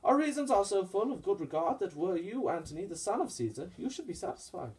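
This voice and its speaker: A man's voice: sits high, sing-song, fairly quick, darkish tone. A man playing an earnest, honourable Roman conspirator in a puppet-show Shakespeare, speaking formal, declamatory verse persuasively. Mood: reassuring, formal.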